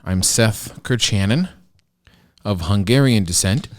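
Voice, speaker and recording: A man's voice: lowish voice, expressive, slow, dry recording, clean studio sound. A man co-hosting a podcast in casual banter, teasing his co-host and explaining food at length with enthusiasm.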